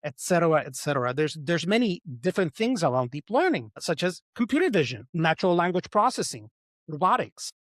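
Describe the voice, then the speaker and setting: A man's voice: fairly high pitch, sing-song, a little fast, fairly bright tone, no echo, studio quality. Upbeat man presenting a tech webinar. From El Salvador. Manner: enthusiastic, explanatory, frequent "right?" and "okay?".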